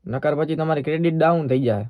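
A man's voice: on the high side, fast talker, no echo, phone-quality sound. A man with a Saurashtra Gujarati accent making a prank call as a polite, persistent bank employee. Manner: polite, persistent, official-sounding. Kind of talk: prank phone call in casual dialect.

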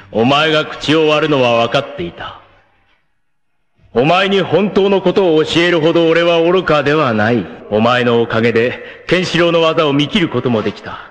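An actor playing a vain, scheming, effete warlord villain in a cartoon, with a haughty, theatrical, word-savoring delivery. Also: male voice, on the high side, expressive, normal speed, slight background noise, close to an everyday voice. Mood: gloating. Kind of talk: theatrical anime villain acting.

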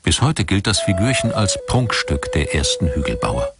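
A man narrating a scripted documentary in a measured, articulate storytelling manner. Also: lowish voice, wildly swooping pitch. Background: background music.

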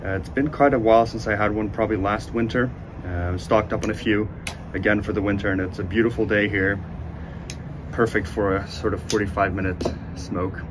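A man in his twenties giving a casual, unhurried review to camera, speaking with enthusiastic praise. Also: fairly low pitch, steady tone. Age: 20s. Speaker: cigar reviewer, host. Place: outdoors on a sunny porch by windows. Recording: outdoor ambient noise.

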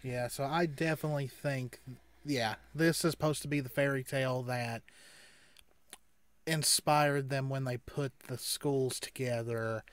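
A man's voice: mid-range voice, steady tone, unhurried, no echo. A man in his thirties chatting casually as he hosts a reaction video. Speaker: reaction show host. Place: small indoor room.

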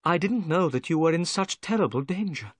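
A man voicing a gentle, kind young woman in a read-aloud story. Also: fairly high pitch, expressive, a little fast, dry recording, clean studio sound, pitched above the performer's own voice.

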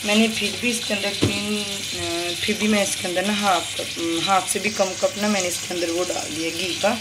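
A woman's voice: medium pitch, fairly even intonation, moderate pace. A woman vlogger walking through a home cooking recipe step by step in casual chat, pointing things out as she goes. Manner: chatty, repeats "ye dekhiye" while showing each step. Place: home kitchen. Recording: kitchen sizzle and noise behind.

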